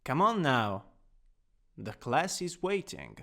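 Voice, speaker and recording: A man with an Italian accent playing a gently dominant, doting anatomy teacher in a scripted ASMR roleplay, coaxing and instructing. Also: mid-range voice, wildly swooping pitch, takes it slowly, vocal fry, heavily acted. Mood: lecturing, composed. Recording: long reverberant hall echo.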